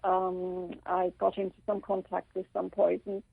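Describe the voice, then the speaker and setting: Gravelly Swiss woman on a phone line. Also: lowish voice, completely flat delivery, dry recording. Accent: slight Irish. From Switzerland. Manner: halting, full of ums and restarts. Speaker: guest author. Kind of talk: phone-in radio interview. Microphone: telephone.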